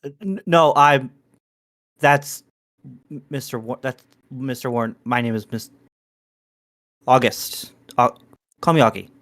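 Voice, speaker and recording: A man's voice: mid-range voice, unhurried, pauses often, a bit bright, no room sound, lightly acted. A man playing an awkward, polite valet in a tabletop game, hesitant and stammering. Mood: nervous, polite.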